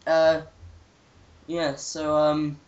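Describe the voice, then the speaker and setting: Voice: medium pitch, monotone, extremely slow, darkish tone, highs cut off. A young adult co-host chatting casually and off the cuff about things, hesitant and rambling, often repeating words. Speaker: co-host of a gaming retrospective. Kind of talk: casual unscripted chat.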